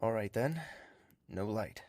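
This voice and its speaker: Gentle courtly man, dry sound. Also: fairly low pitch, animated, slow pace, no echo. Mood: gentle concern. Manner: soft, earnest, reassuring, formal. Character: gentle, courtly, protective confidant with a wounded past. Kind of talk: earnest audio drama acting.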